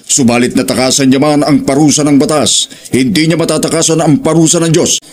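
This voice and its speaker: Filipino guy narrating horror story, solemn and preachy, voice a bit high, faint noise behind. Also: steady tone, brisk, a little mellow. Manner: solemn, moralizing.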